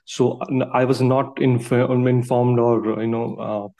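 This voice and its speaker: A man from India calling in to ask for advice, speaking spontaneously in a hesitant, halting way. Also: mid-range voice, level tone, creaky, warm tone. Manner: hesitant, halting, heavy use of "like". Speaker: caller seeking immigration advice. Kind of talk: spontaneous question on a conference call.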